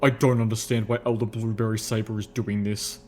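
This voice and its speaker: A man voicing a stern old ghoul soldier in armor, speaking formally and gravely with a low rumble. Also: flat delivery, fairly quick, a little mellow, lightly acted. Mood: troubled, grave.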